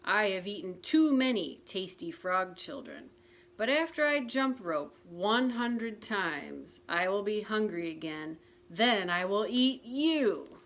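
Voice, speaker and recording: A woman voicing a menacing old male ghost frog in a storybook, loud and threatening. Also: mid-range voice, expressive, slow pace.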